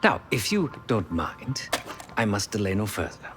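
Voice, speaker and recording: An actor playing a fussy, courteous, sly tech expert in a video game, speaking formally and theatrically with precise diction. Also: male voice, lowish voice, big pitch swings, a little slow, over music. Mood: measured, businesslike. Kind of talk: video game cutscene acting.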